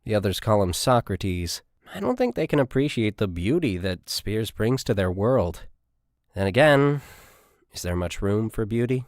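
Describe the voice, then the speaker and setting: A man's voice: lowish voice, big pitch swings, normal speed, no echo, clean studio sound. A man reading a scripted military science fiction story as an audiobook narrator.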